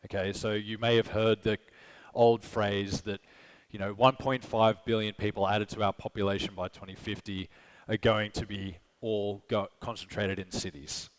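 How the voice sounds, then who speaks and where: A man's voice: fairly low pitch, little pitch movement, normal speed, clear, resonant tone, poor audio. A man with an Australian accent giving a conversational conference talk, asking the audience questions and often checking in with "okay?" and "right?". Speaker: conference speaker. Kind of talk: live keynote presentation. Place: conference stage.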